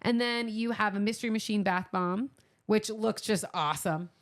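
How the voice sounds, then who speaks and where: A woman with a creaky voice, co-hosting a podcast in casual, enthusiastic banter with a playfully demanding way of talking. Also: medium pitch, normal speed. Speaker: podcast co-host.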